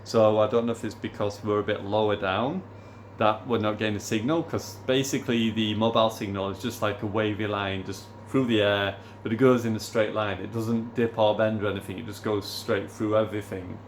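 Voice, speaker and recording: Hoarse man, rambling vlog talk. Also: fairly low pitch, steady tone, fairly quick, a little hiss or hum. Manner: rambling, repeats "obviously" and restarts often. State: losing his voice, hoarse. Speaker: gaming YouTuber.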